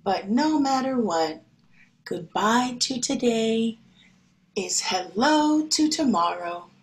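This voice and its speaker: Slow, high-voiced woman reading to children. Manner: expressive, deliberate, child-directed delivery. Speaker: schoolteacher reading to pupils. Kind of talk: picture-book read-aloud and talk to children.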